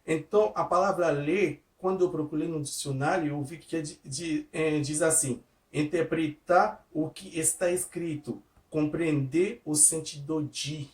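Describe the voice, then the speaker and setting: A man's voice: on the high side, fairly even intonation, unhurried. A man whose first language is not Portuguese gives an informal devotional sermon. He uses simple, repetitive phrasing, often restarts his sentences and speaks straight to the listener. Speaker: lay preacher giving a devotional. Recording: echoey room from a distance.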